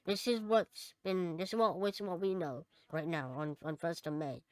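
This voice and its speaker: A woman co-hosting a casual podcast, chatting and reading out news items, somewhat halting when she reads aloud. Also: lowish voice, a little mellow, clear, resonant tone, no echo. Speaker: podcast co-host.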